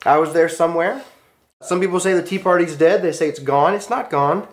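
Higher-pitched American man lecturing emphatically. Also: steady tone, a little fast. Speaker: teacher of a church class. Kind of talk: slideshow lecture with storytelling.

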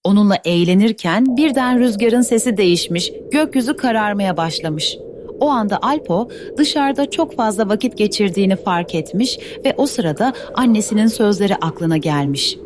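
A woman's voice: medium pitch, lively intonation, moderate pace. A woman narrating a scripted children's story as the storyteller. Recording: faint background noise.

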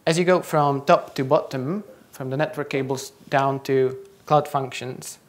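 A man's voice: medium pitch, fairly even intonation, unhurried. A young man from the Czech Republic, speaking as a native Czech speaker, gives a tech talk on stage. He stops often to quiz the audience. His voice comes through a handheld mic in a large lecture hall. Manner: quizzing the audience. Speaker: conference speaker.

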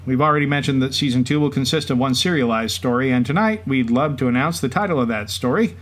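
A man's voice: mid-range voice, quick pace, natural voice. A man co-hosting a podcast, telling stories in light scripted banter. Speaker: podcast co-host. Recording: clear background noise and ambience.

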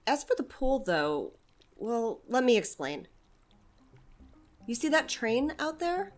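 A woman's voice: on the high side, big pitch swings, slow pace, soft background music. A woman playing an evasive, chatty community center manager in a naturalistic audio drama, hesitant yet earnestly explanatory. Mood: earnest explaining.

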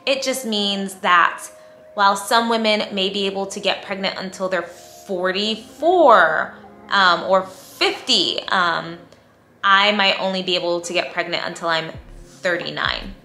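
A woman, chatting in a bubbly, talkative way as she vlogs to camera in a bright room at home. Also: medium pitch, unhurried, echoey room, a little hiss or hum. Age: 30s. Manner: bubbly, chatty, talks with her hands. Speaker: lifestyle vlogger. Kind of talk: casual talk to camera.

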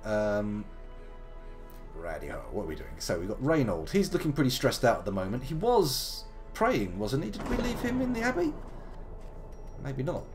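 A man in his thirties talks his way through a live game stream, his voice gravelly from a slight cold. He chats playfully with lively swings in pitch, drifting into jokey asides. Faint game music sits behind him. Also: moderate pace. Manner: chatty, playful, wandering asides. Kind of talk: casual live game commentary.

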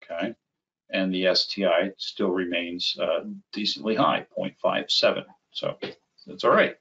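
A middle-aged man giving a conversational, step-by-step software demo as a webinar presenter. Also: deep voice, fairly even intonation, gravelly. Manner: conversational, unhurried step-by-step explaining. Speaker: webinar presenter, applications engineer. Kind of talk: live software demo, explanatory. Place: small indoor room at a computer. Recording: slightly dull, band-limited webinar audio.

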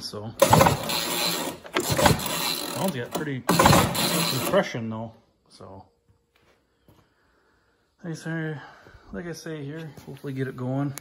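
A man with an average, everyday pitch talks casually and rambles as he walks around a machine in his garage. He keeps saying "like I say". It is a handheld phone recording with faint background noise. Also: a bit bright. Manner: plain-spoken, rambling, repeats "like I say". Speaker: hobbyist restoring an old snowmobile. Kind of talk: casual walkaround commentary. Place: garage workshop. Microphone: handheld phone camera.

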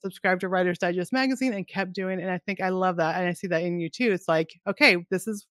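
A woman's voice: on the low side, fast talker, fairly bright tone, dry recording, clean studio sound. A middle-aged woman hosting an author interview from her home office, encouraging and affirming as she talks. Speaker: podcast host. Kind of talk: casual interview chat. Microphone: headset with desk microphone, video call.

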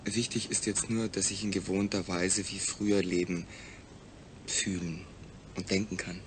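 An actor as a proud, emphatic astronaut patient. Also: male voice, sits low, level tone, normal speed, slight background noise, lightly acted. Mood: earnest. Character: male astronaut patient, proud, defiant, distrustful, demanding. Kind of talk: German science-fiction radio drama acting.

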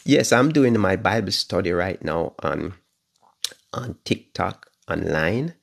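A man's voice: deep voice, animated, takes it slowly, vocal fry. A man in his fifties with a Jamaican accent, preaching a livestream Bible study from his living room. He talks fervently, repeats phrases and draws out stressed words. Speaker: pastor. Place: living room at home, indoors. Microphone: phone camera at arm's length.

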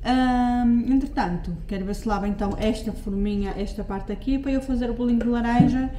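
A chatty middle-aged woman with a European Portuguese accent talks her viewers through her cooking at home, picking her words up again whenever she slips. Her voice sits a little high but sounds soft and mellow. The camera mic catches it from a short way off in a roomy, echoing kitchen. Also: fairly quick, slight background noise. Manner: chatty, talks to viewers while working, often corrects herself. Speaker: lifestyle vlogger. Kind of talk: casual cooking vlog chat.

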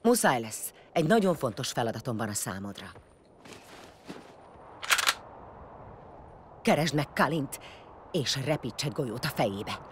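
A woman's voice: extremely low pitch, very animated, background noise. A woman playing a blunt, commanding warrior leader in an audio drama, vengeful and foul-mouthed. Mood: grave.

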